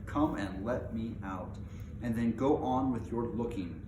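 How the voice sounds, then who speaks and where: A man's voice: medium pitch, unhurried, close to an everyday voice. A man voicing a plucky, stubborn male adventurer in a storybook, calling out bluntly and insistently. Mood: impatient, insistent. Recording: echoey room with background noise.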